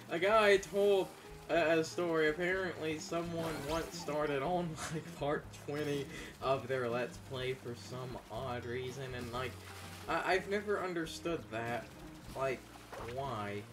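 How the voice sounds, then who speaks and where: A young man co-hosting a let's play, chatting casually in halting phrases. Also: fairly high pitch, background noise. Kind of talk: casual gaming commentary. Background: video game music.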